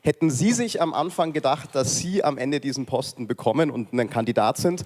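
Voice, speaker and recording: A man's voice: mid-range voice, expressive, fairly quick, darkish tone, in a large hall. A male journalist asking formal, polite questions at a press conference.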